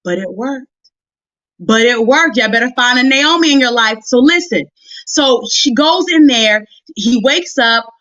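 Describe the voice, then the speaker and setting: A woman's voice: fairly high pitch, expressive, a little slow, a bit bright, no room sound, muffled recording. A woman giving a motivational sermon on a live stream, talking directly and conversationally to women in a folksy, emphatic way and repeating phrases for effect. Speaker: guest preacher and motivational Bible teacher.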